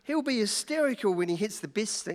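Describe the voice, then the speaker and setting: The sound is a reverberant hall. A man acts out an excitable, brash reporter from an old radio drama, with pitch that swings widely as he talks. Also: fairly quick. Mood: brash, bossy. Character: brash, excitable male New York newspaper reporter. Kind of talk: old-time radio play acting. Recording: echoey hall, long reverb.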